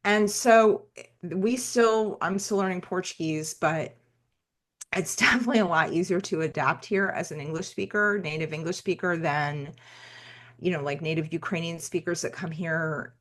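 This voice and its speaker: An American woman speaks as a podcast guest in a slightly low voice. She rambles, stacking asides and restarts, with lively swings in her delivery. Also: moderate pace. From United States. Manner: talkative, rambling, animated asides. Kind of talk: conversational podcast interview.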